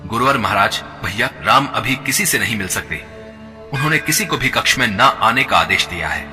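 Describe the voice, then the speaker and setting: A man's voice: lowish voice, expressive, moderate pace, bright, forceful tone. A man voicing a loyal young prince in a devotional cartoon, talking in an earnest, respectful way. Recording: long reverb with background music.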